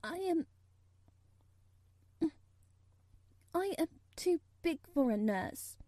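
A small, earnest girl, played by a woman narrator, speaks in a high voice that swings up and down. She is timid and a little stiff, with a tremulous, pleading storybook delivery in a dry recording. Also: animated, measured pace, warm tone, no echo.

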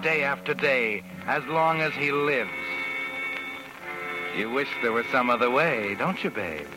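An actor playing a knowing, sardonic narrator who insinuates himself into an old-time radio suspense tale. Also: male voice, medium pitch, close to an everyday voice, poor audio. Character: sardonic, insinuating noir narrator addressing a scheming woman. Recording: vintage radio recording.

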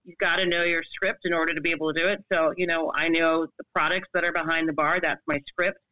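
Loud lively bartender lady in her 50s, bright tone, dead dry recording. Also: sits low, fast, dry recording, poor audio. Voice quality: loud, well-projected voice. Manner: animated, laughs often. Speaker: bartender and spirits brand ambassador. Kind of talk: conversational interview answers.

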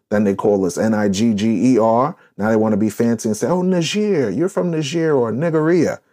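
A man's voice: medium pitch, dark tone. A man hosting a podcast discussion, speaking in an emphatic, preachy, declarative way. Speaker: podcast host.

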